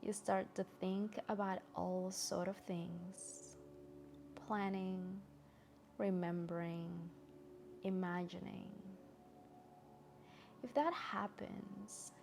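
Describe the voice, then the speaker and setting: A woman guiding a meditation in a gentle, soothing, soft-spoken way with long pauses. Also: mid-range voice, fairly even intonation, vocal fry, a little hiss or hum. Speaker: meditation guide. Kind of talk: guided meditation narration.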